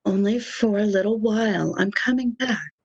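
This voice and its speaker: A woman playing a calm, reassuring phantom lover, speaking soothingly and measuredly in an amateur radio drama. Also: medium pitch, breathy edge, lo-fi sound. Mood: tender, assured. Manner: soothing, measured, brief answers.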